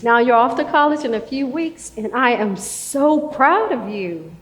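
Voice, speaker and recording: Woman as high-voiced proud coach, echoey hall. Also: lively intonation, a little slow. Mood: proud, curious. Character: proud, encouraging female school sports coach. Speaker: actor in a stage play. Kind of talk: live stage play acting. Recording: reverberant hall, live stage recording.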